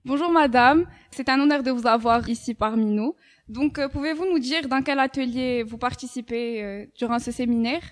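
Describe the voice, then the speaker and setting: A woman's voice: a little high, moderate pace. A teenage female student presenting a scripted radio column and asking interview questions in a formal, polite way.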